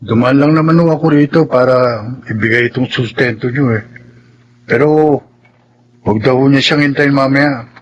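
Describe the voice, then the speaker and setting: A man's voice: medium pitch, moderate pace, muffled recording, a little hiss or hum, close to an everyday voice. A man playing a courteous errand man in a radio drama, respectful yet teasing. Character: polite young errand man for the boss.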